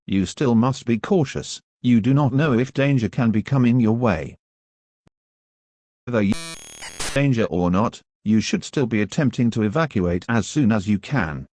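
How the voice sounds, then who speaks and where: A man reading a scripted emergency news announcement in a formal, measured way. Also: mid-range voice, darkish tone, no echo.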